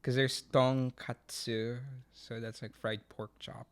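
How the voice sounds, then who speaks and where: A young man with a Filipino accent chats casually on a podcast. He rambles, drifts off on jokey tangents and keeps an easy, mid-pitched voice. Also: little pitch movement, slow. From Philippines. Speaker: podcast co-host. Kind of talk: casual chat.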